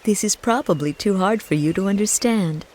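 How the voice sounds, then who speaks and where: A woman acting as a gentle mother in a video game cutscene, with a medium-pitched voice. She speaks tenderly and patiently, like a wise parent explaining to a small child, over faint ambient noise. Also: normal speed, close to an everyday voice. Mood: grave, gentle. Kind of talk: scripted game cutscene dialogue.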